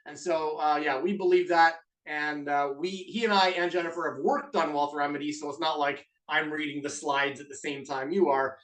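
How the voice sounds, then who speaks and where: A man's voice: on the high side, steady tone, normal speed, hardly any pauses, fairly bright tone, distant mic, poor audio. A man with a Canadian accent hosting a live online masterclass in an upbeat, chatty way, with lots of filler uhs. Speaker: webinar host and business co-owner. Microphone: video call mic.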